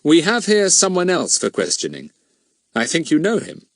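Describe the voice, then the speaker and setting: A man's voice: on the high side, huge pitch swings, normal speed, no echo, studio quality, pitched above the performer's own voice, full character voice. A man voicing an authoritative, composed police inspector in a storybook reading. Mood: brisk authority.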